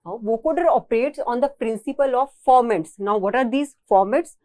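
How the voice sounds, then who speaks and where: A woman's voice: fairly high pitch, fairly quick, a little mellow, dry recording. A woman with an Indian accent giving a recorded technical lecture as a college lecturer, explaining and rephrasing her points.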